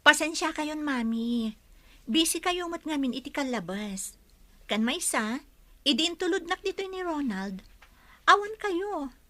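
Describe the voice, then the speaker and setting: A woman's voice: high-pitched, animated, a little slow, bright, forceful tone, no room sound, exaggerated voice. A woman playing a sweet young daughter in love in an Ilocano audio drama, with an earnest, youthful delivery. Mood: apologetic, pleading. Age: young adult.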